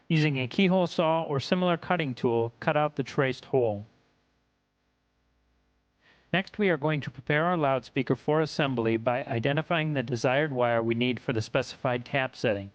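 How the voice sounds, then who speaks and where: A man reads scripted technical narration for an instructional video in a measured, instructional way. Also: medium pitch, no room sound, highs cut off.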